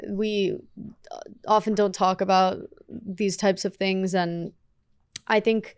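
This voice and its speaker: A young woman whose first language was Russian, speaking earnestly and deliberately as a guest in a studio interview. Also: mid-range voice, fairly even intonation, smooth, no room sound. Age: young adult. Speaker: guest, student leader. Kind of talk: conversational interview. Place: dark studio set with sofas. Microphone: studio mic on a boom arm.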